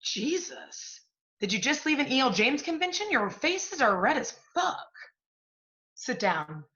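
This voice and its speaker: A woman's voice: high voice, big pitch swings, measured pace, bright, forceful tone, heavily acted. A woman acting a brash, foul-mouthed, gushing literary agent in a stage play, recorded with a dull, muffled sound. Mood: brash, effusive. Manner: brash, profane, effusive. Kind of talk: stage comedy-drama acting.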